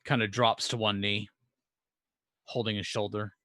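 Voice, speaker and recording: A man's voice: fairly low pitch, little pitch movement, unhurried, bright, forceful tone, dry recording, clean studio sound. A man acting as game master, casually explaining rules and improvising narration for a tabletop game.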